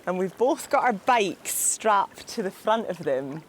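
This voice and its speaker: A woman's voice: medium pitch, expressive, a little slow, a little hiss or hum. A Scottish woman chatting in a friendly, easygoing way outdoors on a river. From Scotland. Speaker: presenter, endurance cyclist. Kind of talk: casual outdoor chat.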